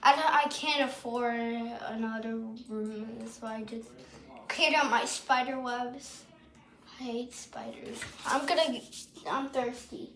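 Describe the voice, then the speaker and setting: A young man playing an anxious shed worker in an amateur horror roleplay, halting and pleading, on a noisy amateur microphone. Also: very high voice, steady tone, fairly bright tone, roomy sound, exaggerated voice. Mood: nervous, pleading.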